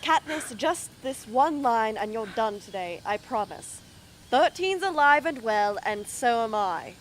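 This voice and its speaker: A woman's voice: fairly high pitch, expressive, measured pace, low-quality recording. A woman playing a persistent film crew director in an amateur radio drama, coaxing and encouraging. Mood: insistent. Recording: faint background ambience noise.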